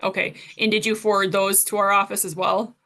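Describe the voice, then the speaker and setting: Bright-voiced woman attorney questioning. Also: medium pitch, level tone, fairly quick. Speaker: prosecuting attorney questioning a witness. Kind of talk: formal courtroom questioning.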